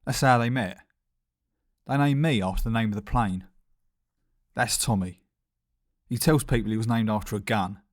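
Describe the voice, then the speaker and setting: A man's voice: mid-range voice, natural voice. A man playing a wry small-time London boxing promoter, narrating in crime comedy film style with a London accent.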